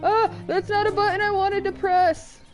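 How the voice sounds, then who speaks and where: A woman's voice: very high voice, little pitch movement, normal speed. Casual woman streamer talking over game music. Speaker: host of a gaming livestream. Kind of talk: casual chat while playing a video game. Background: loud game music.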